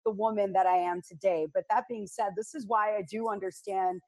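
A woman from the south side of Chicago, a radio personality speaking as a panel guest in an outspoken, blunt, animated storytelling manner. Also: medium pitch, brisk. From United States, south side of Chicago. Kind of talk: candid panel discussion.

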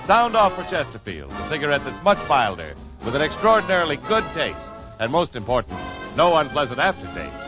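A man's voice: fairly high pitch, wildly swooping pitch, fairly quick, few pauses. A man announcing credits and sponsors in the formal style of a 1950s radio announcer. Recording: dull lo-fi 1950s radio recording. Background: music.

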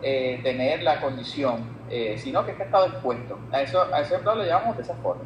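A man's voice: medium pitch, lively intonation, fast talker. Puerto Rican man explaining, echoey room. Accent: Puerto Rican Spanish. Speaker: guest expert on labor law. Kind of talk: explanatory interview answers. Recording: echoey room with some background noise.

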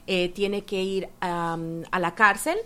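A woman guest explaining a topic earnestly, step by step, often pausing on fillers. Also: lowish voice, steady tone, sharp tone, clean tone. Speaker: guest activist. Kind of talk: explanatory interview answers.

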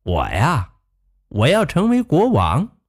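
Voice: medium pitch, huge pitch swings, slow pace, breathy edge, full character voice. A storyteller playing a polite, eager little pig.

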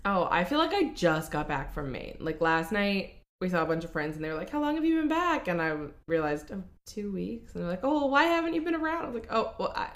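A woman's voice: mid-range voice, quick pace, hardly any pauses. A woman in her twenties co-hosting a podcast from her living room, chatting casually and laughing easily. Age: 20s. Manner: lively, laughs easily, quotes herself. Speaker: podcast co-host. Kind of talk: casual chat.

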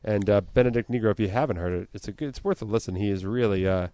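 A man's voice: on the low side, quick pace, dark tone. A man chatting casually as a podcast co-host. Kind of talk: casual chat, banter.

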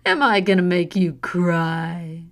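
A woman voicing a drunk, cruel mother who taunts and shouts with harsh scorn, in a storybook reading. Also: lowish voice, fairly even intonation, takes it slowly. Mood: shouting, cruel. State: drunk, slurring. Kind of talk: audiobook narration.

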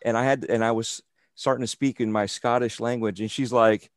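A man's voice: mid-range voice, fairly even intonation, fairly quick, dry recording, studio quality. A man hosting an interview, telling rambling anecdotes full of restarts. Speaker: interview host. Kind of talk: casual interview chat over a call.

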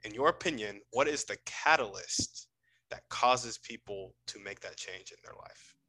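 A man hosting a podcast, asking questions in a measured, encouraging way. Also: lowish voice, lively intonation, sharp tone, breathy edge, poor audio. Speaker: podcast host. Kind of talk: interview questions.

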